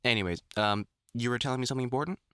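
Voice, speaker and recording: A man playing an older, experienced vampire hunter in an intimate audio roleplay, talking to the listener in a halting, wry, earnest way. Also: medium pitch, bright, forceful tone, clear, resonant tone, dry recording, clean studio sound, lightly acted. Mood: wry, teasing. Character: gruff, caring older experienced male vampire hunter, mentoring.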